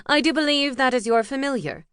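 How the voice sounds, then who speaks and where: A woman voicing a stern, imposing older woman teacher of witches, speaking in a formal, crisp and commanding way. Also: sits high, expressive, a little fast, fairly bright tone, no room sound, studio quality, voice pushed up. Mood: composed.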